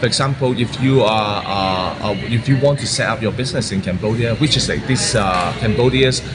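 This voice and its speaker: A man makes an investment pitch in an interview. He speaks haltingly, pausing on fillers and restarting his phrases. Loud music plays behind him the whole time. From Hong Kong. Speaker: property developer. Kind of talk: interview answers, sales pitch.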